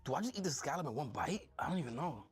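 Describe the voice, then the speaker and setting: A young man with a Dominican accent talks in a rapid, slangy, excited way, joking as he tastes dishes in a hot restaurant kitchen. His voice sits a bit high for a man. Music runs faintly behind him. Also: breathy edge. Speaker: streamer. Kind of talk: casual food vlog chat.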